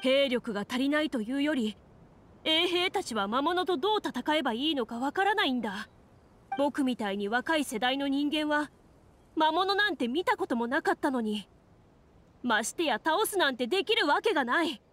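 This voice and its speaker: A woman's voice: high-pitched, animated, normal speed, fairly bright tone. A woman voicing a worried, earnest young man from a troubled town in a fantasy game. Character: anxious, earnest young townsman.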